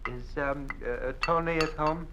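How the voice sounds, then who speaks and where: A man's voice: on the high side, moderate pace, noisy background, lightly acted. A man playing a hesitant, stammering official. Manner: hesitant, full of uhs. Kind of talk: 1950s radio drama acting. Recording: dull old radio recording.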